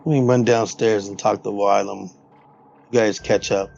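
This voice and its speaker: A man's voice: fairly low pitch, steady tone, normal speed, vocal fry, a little mellow. Dull-sounding recording of a male tabletop player. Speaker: player in a tabletop role-playing game. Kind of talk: casual in-game chat and improvised play. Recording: dull lo-fi audio, music behind.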